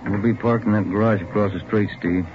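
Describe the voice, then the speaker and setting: An actor playing a wry, steady police detective in a 1940s radio crime drama, speaking in a dry, laconic way. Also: male voice, on the low side, fairly quick, noisy background, natural voice. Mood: dry, reassuring. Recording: dull old radio recording, music.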